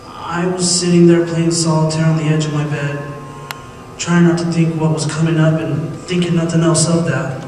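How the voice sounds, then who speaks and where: A man's voice: on the high side, darkish tone, lightly acted. A man playing an anxious condemned prisoner in an old-time radio drama, telling his tale in a folksy, conversational way. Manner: folksy, conversational, addressing the listener. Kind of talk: old-time radio drama acting, first-person storytelling. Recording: distant, very echoey hall with hiss.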